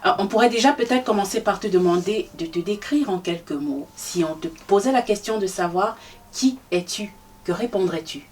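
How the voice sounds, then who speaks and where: A woman's voice: mid-range voice, lively intonation, normal speed, a little mellow. A woman hosting a literary interview, encouraging her guest with frequent short replies. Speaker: host. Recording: distant voice with faint background noise.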